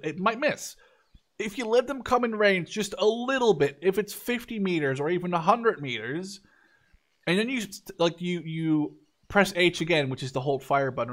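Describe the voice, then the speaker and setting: A man's voice: fairly high pitch, fairly bright tone. A man in his twenties giving casual, enthusiastic game commentary, informal and swearing freely. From Canada or Netherlands, Dutch. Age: 20s. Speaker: gaming video creator.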